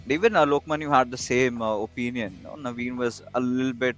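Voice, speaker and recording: A man's voice: medium pitch, fast talker, a bit bright, soft background music. A man doing live esports co-commentary, hyped and full of fillers, at times slipping into Hindi.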